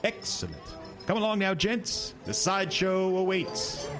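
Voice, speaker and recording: An actor playing a sly, sinister male carnival owner who talks in a smooth, teasing, drawn-out way in a horror radio drama. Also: sits high, big pitch swings. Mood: commanding. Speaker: carnival showman. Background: music score.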